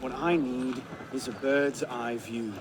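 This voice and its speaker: A man's voice: mid-range voice, steady tone, takes it slowly, loud music behind the voice, lightly acted. A man playing a cocky, flippant young Frenchman in a game cutscene, sarcastic and breezy. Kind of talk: video game cutscene acting.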